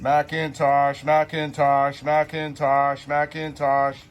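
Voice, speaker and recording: Flat-toned man calling over staticky radio. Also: a little high, takes it slowly, a little hiss or hum. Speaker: radio caller checking in. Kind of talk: repetitive call-sign check-in.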